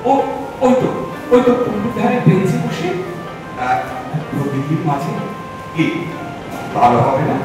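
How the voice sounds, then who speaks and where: A man acting in an audio drama as an eager, romantic older gentleman, expressive and coaxing, hesitating and repeating himself. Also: fairly high pitch, measured pace, pauses often. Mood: eager, playful. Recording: very echoey, distant, music behind. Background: background music.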